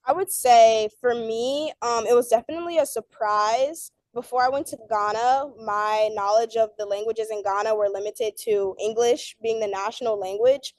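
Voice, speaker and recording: A woman's voice: fairly high pitch, normal speed, few pauses, a little mellow, no room sound. A young woman student telling a panel about her time abroad in a friendly, chatty way. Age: young adult. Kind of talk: panel discussion.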